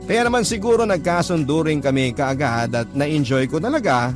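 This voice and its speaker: A man's voice: a little high, lively intonation, normal speed. A man narrating a love story in the first person, in a confiding way, speaking directly to the host. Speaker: narrator reading a listener's letter. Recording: clear music bed behind narration.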